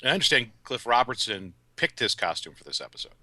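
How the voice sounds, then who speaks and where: A man's voice: medium pitch, big pitch swings, fast, pushed and bright, no room sound. A man appearing as a podcast guest, giving casual conversational commentary.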